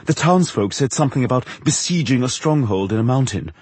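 Male narrator as flamboyant stage impresario for fantasy audiobook: raised pitch, dramatic, excitable, husky. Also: no room sound. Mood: dramatic alarm. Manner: dramatic, halting when flustered. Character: theatrical, excitable male actor-impresario reporting news.

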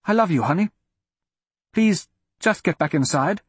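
Man acting a desperate, pleading father, pitched up. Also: expressive, unhurried, slightly rough, no room sound, heavily acted. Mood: guilty pleading. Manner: urgent, pleading. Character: frightened con man, pleading protective father.

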